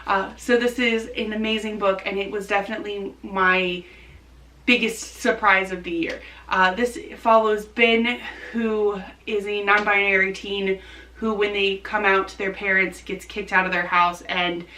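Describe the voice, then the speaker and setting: A woman's voice: mid-range voice, little pitch movement, measured pace, pushed and bright. A woman chatting casually and enthusiastically about books as a vlogger, recorded in a roomy space at some distance from the mic. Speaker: book vlogger. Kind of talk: casual book review chat to camera.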